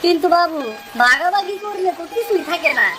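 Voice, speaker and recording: A woman's voice: extremely high pitch, animated, fairly quick, music in the background. A woman playing a frustrated younger brother, a poor fisherman in a cartoon story, with a plaintive, complaining manner and rural Bengali dialect. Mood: dejected.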